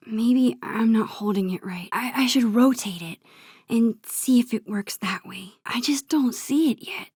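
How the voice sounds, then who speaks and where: A woman playing a shy, wistful teenage girl in a video game, musing to herself in a soft, whispery voice. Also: fairly high pitch, husky, no echo, clean studio sound. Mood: puzzled. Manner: gentle, musing, talking to herself. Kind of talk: introspective game character monologue.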